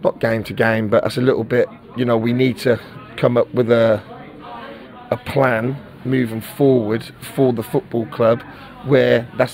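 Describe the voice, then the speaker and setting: A middle-aged football manager speaks frankly after a match. His voice sits at an ordinary middle pitch, and he repeats words and restarts his sentences as he works through his thoughts. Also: darkish tone. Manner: candid, repeats words while searching for them. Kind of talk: post-match interview.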